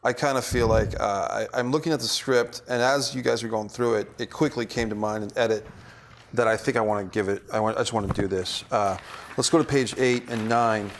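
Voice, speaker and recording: A man directing actors, giving feedback in deliberate, hesitant phrases in a studio. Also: fairly low pitch, fairly even intonation, slight background noise. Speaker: film director. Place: indoor studio.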